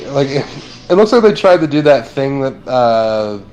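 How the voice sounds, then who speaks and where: Laid-back superhero voiced by a man, dry wisecracks, lots of drawn-out filler words, middle-pitched ordinary voice. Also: big pitch swings, a little mellow, slight background noise, natural voice, low-quality recording. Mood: easygoing banter. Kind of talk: improvised in-character chat.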